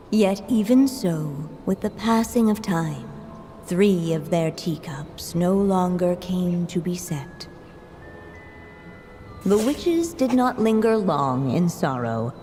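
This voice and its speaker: A woman playing a composed storybook narrator in a video game, formal and measured with a wry, teasing edge. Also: sits low, darkish tone. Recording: long reverb over music.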